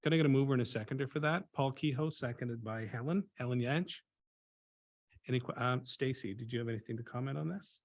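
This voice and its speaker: A man chairing a board meeting, moving through motions in a brisk, procedural way. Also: medium pitch.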